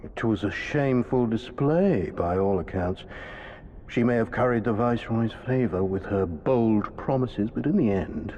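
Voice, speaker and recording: An actor playing a haughty, contemptuous imperial officer in a video game, speaking with formal disdain. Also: male voice, fairly low pitch, animated, a little slow, warm tone. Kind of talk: video game cutscene acting. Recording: dull, long reverb, faint background noise.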